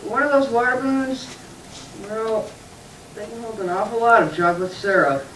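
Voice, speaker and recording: A woman's voice: on the high side, normal speed, natural voice. A woman voicing a small, sulky boy puppet hiding up a tree, whiny and tearful, recorded from a distance in an echoey room. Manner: whiny and sulky, cries in bursts. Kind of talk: church puppet show acting. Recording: echoey room picked up from afar, faint noise.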